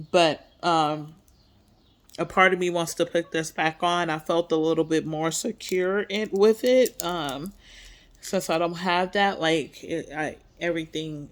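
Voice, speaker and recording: Woman vlogger, stuffy nose, slow unhurried delivery, soft and hesitant with frequent ums. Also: low-pitched. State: congested. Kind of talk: casual personal update.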